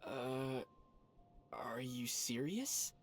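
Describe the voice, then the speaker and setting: An actor playing a calm, thoughtful young male traveler in a video game, soft-voiced and measured. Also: mid-range voice, background noise. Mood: curious.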